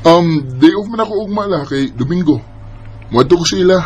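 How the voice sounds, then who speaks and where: A man acts a devoted, jealous young Filipino with a Bisaya accent in a radio drama. His voice runs a little high and moves expressively, over some faint noise in a home-made recording. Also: animated, moderate pace, lightly acted. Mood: gentle, wistful. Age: young adult. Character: earnest, jealous young boyfriend and devoted grandson. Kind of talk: amateur radio drama acting.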